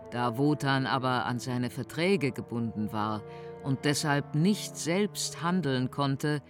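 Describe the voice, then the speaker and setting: A woman narrating a radio drama as a measured, deliberate storyteller. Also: a bass voice. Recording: music under the narration.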